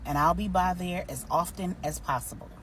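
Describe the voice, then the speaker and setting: A woman voicing a kind, reassuring female doctor in a story, calm and practical. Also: fairly low pitch, moderate pace, recorded from a distance, a little hiss or hum, natural voice, rough recording.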